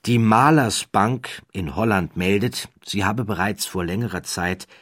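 A man's voice: on the low side, unhurried, a bit bright, no echo, clean studio sound. A man narrating an audiobook, telling the story dramatically with rising and falling emphasis.

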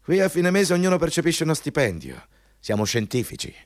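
An arrogant male company boss, bullies whoever sits across the desk. His pitch swings widely as he pompously hammers on repeated words. The studio sound is very dry and close. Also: sing-song, fairly quick, dry recording. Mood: self-important. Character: arrogant, bullying male company boss interviewing a job applicant. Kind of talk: Italian radio play acting.

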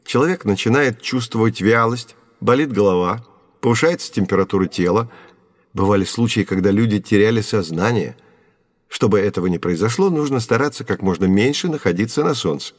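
A man playing a knowledgeable, calm guide who instructs children in a scripted educational audio drama. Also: fairly low pitch, a little fast, no room sound, clean studio sound. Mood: calm, explaining. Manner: didactic, instructive.

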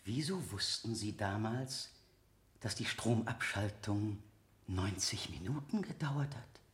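A man's voice: on the low side, lively intonation, slow pace, roomy sound. A man acting a relentless, scornful public prosecutor in a 1970 German radio drama, with theatrical, insistent rhetoric. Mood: coolly pressing. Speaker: actor in a radio play.

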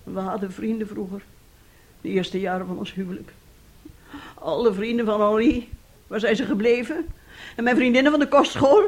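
A woman's voice: medium pitch, moderate pace, pauses often, darkish tone, lightly acted. An actress playing an embittered, despairing middle-aged mother in a Dutch radio play, speaking in a dramatic, weary, confiding manner. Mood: bitter despair.

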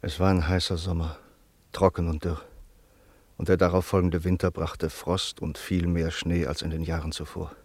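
A man narrating a radio play in a measured, solemn way. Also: sits low, flat delivery, a little mellow, breathy edge, no room sound. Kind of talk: scripted narration.

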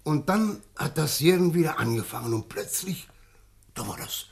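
An actor in a German radio play, playing a shaken, tipsy village man who tells of an uncanny sighting in a halting, insistent way. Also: on the high side, expressive, creaky. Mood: shaken, awed. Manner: halting, stammering, insistent eyewitness telling.

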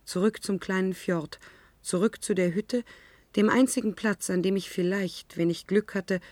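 An actress playing an anguished, isolated young woman who narrates her ordeal in a German science-fiction radio drama, deliberate and dramatic. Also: mid-range voice, fairly even intonation, darkish tone, no room sound, close to an everyday voice.

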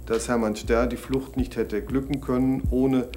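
A man's voice: medium pitch, level tone, moderate pace, music in the background. Firm male defense lawyer. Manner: firm, considered.

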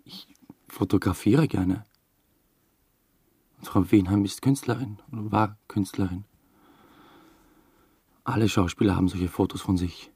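A gravelly, evasive young murder suspect. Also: male voice, lowish voice, steady tone, unhurried, pauses often, mellow, no echo, clean studio sound. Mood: guarded. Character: young male theatre assistant murder suspect, evasive, wryly narrating. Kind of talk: German radio drama acting and first-person narration.

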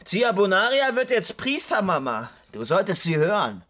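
A man's voice: high-pitched, sing-song, normal speed, fairly bright tone, exaggerated voice. An actor playing a bedridden young man in a radio drama, bitter, mocking and full of self-pity. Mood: bitter, agitated. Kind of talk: naturalistic German radio drama acting.

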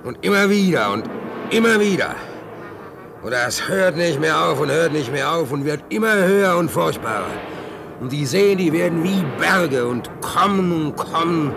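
A man's voice: fairly high pitch, big pitch swings, measured pace, gravelly, exaggerated voice. A man acting an anxious, talkative seaman in a German radio play, urgently repeating himself, with dramatic intensity. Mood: mounting horror. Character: anxious, talkative seaman called Piep, in storm and shipwreck. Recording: noisy old radio play recording.

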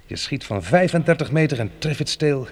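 An actor playing a resolute, practical man surviving a catastrophe in a Dutch radio play, brisk and in command. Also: fairly high pitch, expressive, slightly rough. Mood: practical, assured.

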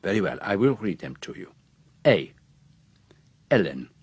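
An actor playing a fussy, shrewd older Belgian detective with a French-speaking Belgian accent, precise and theatrical in a radio drama. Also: male voice, low-pitched, big pitch swings, measured pace, breathy edge, no room sound, exaggerated voice. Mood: methodical, probing.

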